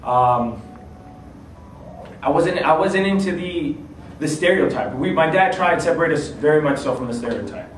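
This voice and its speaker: A man from the United States giving thoughtful, halting interview answers, often repeating himself as he searches for words. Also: fairly high pitch, lively intonation. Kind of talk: casual interview testimony. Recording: echoey, distant mic, faint noise.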